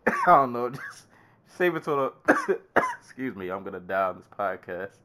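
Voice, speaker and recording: A man's voice: on the low side, sing-song, normal speed, sharp tone. A man hosting a casual podcast while congested with a summer cold, laughing and coughing often. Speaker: podcast host.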